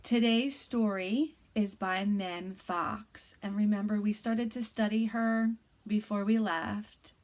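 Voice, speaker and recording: A woman in her thirties, a kindergarten teacher, reading a bedtime picture book aloud to young children in a soft, hushed voice that often drops to a whisper. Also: medium pitch. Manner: gentle and encouraging to young children.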